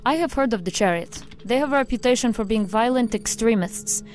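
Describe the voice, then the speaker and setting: An actress playing a brisk, skeptical, factual female agent in an audio drama. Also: a little high, darkish tone, faint music behind, lightly acted.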